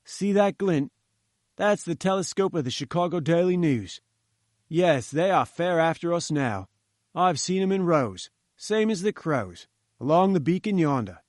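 A man voicing a huge, gruff male gatekeeper who talks bluntly in a chatty way. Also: a little high, lively intonation, a little slow, darkish tone, dry recording, clean studio sound, voice pushed up. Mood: gossipy.

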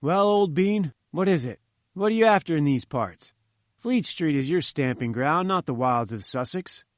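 A man's voice: fairly high pitch, lively intonation, moderate pace, no room sound, clean studio sound, close to an everyday voice. A man voicing a breezy, jovial young male journalist.

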